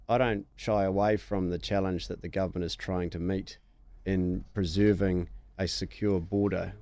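A man with a New Zealand accent, speaking as a member of parliament on a radio panel, measured and earnest. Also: on the low side, darkish tone, no room sound.

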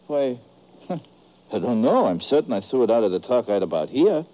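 A man's voice: medium pitch, big pitch swings, normal speed, highs cut off, natural voice. A man playing a helpful, slightly nervous truck driver in a 1950s radio drama, with a mild, hesitant manner. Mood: eager, helpful. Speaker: supporting actor in a radio drama. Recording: noisy old radio broadcast recording.

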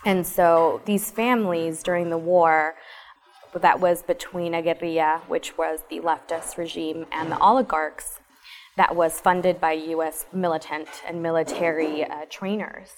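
Lower-voiced woman guest, faint noise. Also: steady tone, measured pace. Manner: explanatory, with frequent um fillers. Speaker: guest, former city councilor. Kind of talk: interview answers. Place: cafe, live recording.

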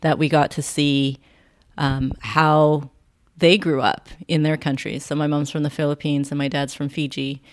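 A woman with a Canadian accent and a deep-set voice talks as an interview guest. Her delivery is measured and reflective. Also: little pitch movement. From Vancouver, Canada. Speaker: podcast guest, sound healing center founder. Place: quiet indoor room at her wellness space.

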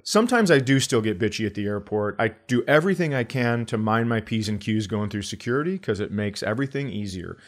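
A man co-hosting a comedy podcast in casual banter, sardonic and profane, acting out blunt remarks. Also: fairly low pitch.

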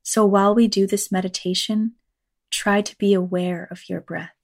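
A woman leading a guided meditation in a measured, unhurried manner, reading scripted lines with long pauses. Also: mid-range voice, steady tone, gravelly. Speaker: meditation guide, actor and teaching artist.

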